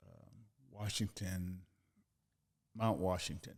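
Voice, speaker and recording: Gravelly low-voiced older man telling stories. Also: takes it slowly. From Texas. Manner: relaxed, repeats "yeah" often, chuckles at his own stories. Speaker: guest artist. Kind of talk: casual storytelling interview.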